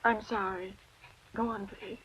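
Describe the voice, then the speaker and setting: An actress playing a genteel, coolly calculating widow who feigns grief, with poised, precise diction in an old radio drama. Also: female voice, mid-range voice, steady tone, unhurried, fairly bright tone, breathy edge. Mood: faint, polite. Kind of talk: 1940s-50s radio drama acting, first-person narration. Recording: dull vintage radio recording with music.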